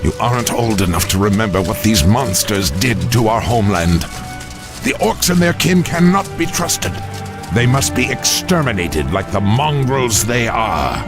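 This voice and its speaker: An actor playing a stern, proud old admiral in a video game, imperious and grandiloquent. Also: male voice, fairly low pitch, sing-song, moderate pace. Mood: stern, scornful. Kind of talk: video game cinematic acting. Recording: music behind.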